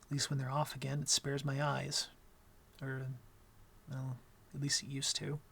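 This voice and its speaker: A young man playing an awkward, gentle vampire in an intimate ASMR roleplay, talking softly and hesitantly with a wry streak. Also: mid-range voice, monotone, unhurried, creaky, breathy edge, heavily acted. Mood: relaxed, amused. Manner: hesitant, soft-spoken, wry.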